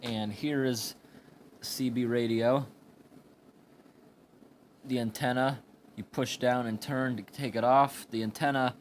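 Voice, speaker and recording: A man giving an informal hands-on product review in a plain, explanatory way with halting phrases, recorded indoors on a handheld camera. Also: mid-range voice. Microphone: handheld camera or phone microphone. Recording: amateur recording with background room noise.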